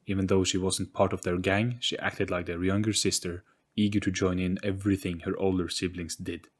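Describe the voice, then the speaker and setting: Male storyteller for a scripted audio play, low register, slightly husky tone, music bed. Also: level tone, a little fast. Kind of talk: scripted dramatic story narration.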